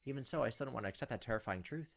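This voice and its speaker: A man's voice: mid-range voice, lively intonation, very fast, vocal fry, slight background noise. A man reading visual novel text aloud as a let's player, dropping in casual asides. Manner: casual asides between read lines. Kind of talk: narrating and commenting over a visual novel.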